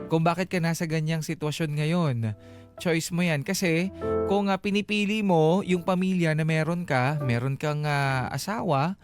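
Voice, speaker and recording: A man's voice: on the high side, fairly even intonation, normal speed. A Filipino man hosting a radio advice show, speaking frankly and conversationally in a mix of Tagalog and English. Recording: music playing behind the voice. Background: background music.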